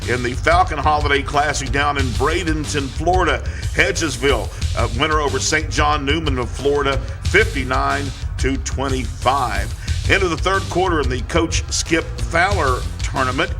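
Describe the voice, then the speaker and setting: A man's voice: mid-range voice, normal speed. Man reading scores over music. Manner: steady, businesslike score reading. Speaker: radio sportscaster.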